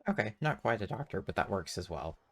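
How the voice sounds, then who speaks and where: A woman's voice: rumbling low, very animated, fairly quick. A woman game streamer making casual, wry, chatty asides over gameplay. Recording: game music behind.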